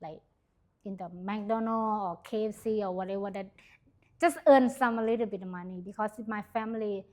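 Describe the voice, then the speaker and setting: A young Thai woman from the Northeast, telling her life story in a casual interview, in halting English with a Thai accent and many fillers. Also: medium pitch, dark tone. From Thailand, Isan region. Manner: hesitant, many fillers, simple grammar. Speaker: interview guest sharing her testimony.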